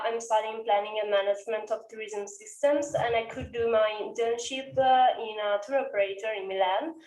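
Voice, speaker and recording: A female tourism student from Italy introduces herself and asks a question in a clear, composed way. Her voice sits fairly high. The sound is roomy and distant. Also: darkish tone. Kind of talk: prepared video question. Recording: echoey room, distant mic.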